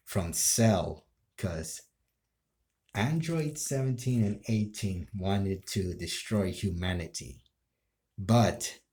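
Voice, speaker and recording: A man's voice: sits low, a little mellow. A man casually explaining his anime role play in a rambling way, repeating himself as he goes. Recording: echoey room.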